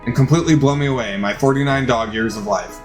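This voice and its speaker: A man's voice: mid-range voice, quick pace, natural voice. Exuberant game-character dog chef played by a man, showy announcer delivery, music behind. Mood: amazed.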